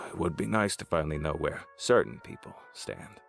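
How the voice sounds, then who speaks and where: Man acting a gravelly, deep crime boss. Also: very animated, normal speed, a bit bright, faint music behind, full character voice. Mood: cynical, wry. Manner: dry, cynical. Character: powerful male crime boss, cynical, guarded, world-weary. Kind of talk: audio drama acting.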